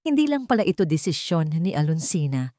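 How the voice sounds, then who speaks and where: A woman's voice: low voice, big pitch swings, brisk, mellow, no room sound, clean studio sound. A woman with a Filipino accent narrating a scripted dramatic story.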